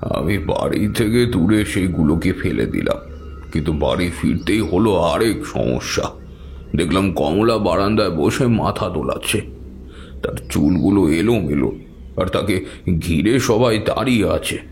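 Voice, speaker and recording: A man's voice: deep voice, animated, measured pace, vocal fry, a little mellow, a little hiss or hum. A man narrating a scripted first-person horror story, as the storyteller of an audio drama.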